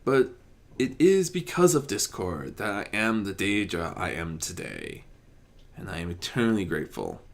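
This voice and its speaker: Armored deity of order played by a man: gentle, measured, courteous, fatherly, with very expressive intonation, for a fantasy storybook. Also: on the low side, vocal fry, full character voice. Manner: soft-spoken, courteous, measured. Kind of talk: fan fiction audiobook character reading.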